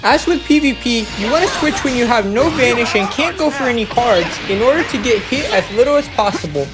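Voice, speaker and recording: A woman's voice: a little high, expressive, fairly quick. A woman giving an instructional, step-by-step voice-over as the narrator of a gameplay guide. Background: music.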